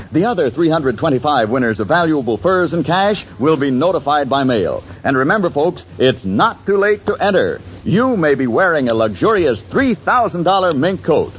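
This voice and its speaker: A man's voice: fairly high pitch, a little hiss or hum. A man with an American accent reading scripted announcements in 1940s radio style. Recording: dull old radio recording with music.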